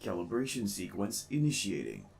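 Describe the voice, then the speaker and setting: Man putting on a gravelly robot mech voice. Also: deep voice, moderate pace, slight background noise, voice pushed down, exaggerated voice. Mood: mechanical. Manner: flat clipped system announcements. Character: calm male robot mech computer voice. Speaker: game reader.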